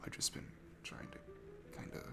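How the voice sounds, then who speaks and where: A man playing a shy, nervous, sweet young guy at a bar in an intimate ASMR roleplay, stammering and hesitant, with a soft gravelly voice that drops into hushed asides. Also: measured pace, natural voice. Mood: confiding. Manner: hesitant, stammering, self-deprecating, breaking into hushed breathy asides. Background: music.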